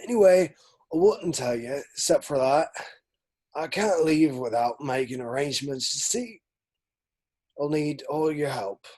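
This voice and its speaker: A man playing a gruff, soft-hearted half-giant gamekeeper in a script read, folksy and protective. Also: on the high side, a little slow. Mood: gruff, earnest. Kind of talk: table read of a film script.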